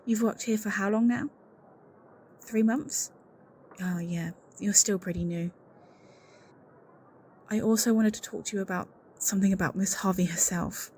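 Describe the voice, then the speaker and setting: A woman's voice: medium pitch, steady tone, moderate pace, long pauses, darkish tone, a little hiss or hum, natural voice. A woman playing an anxious, suspicious secretary in an audio roleplay, speaking in an earnest, confiding and insistent way. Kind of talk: scripted audio roleplay acting, one-sided conversation.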